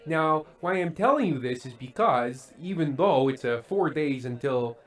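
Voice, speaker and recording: An actor playing a glum, fretful middle-aged variety show host in a radio play, with a halting, stammering delivery. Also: male voice, on the high side, animated. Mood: showman patter. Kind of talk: old-time radio play acting.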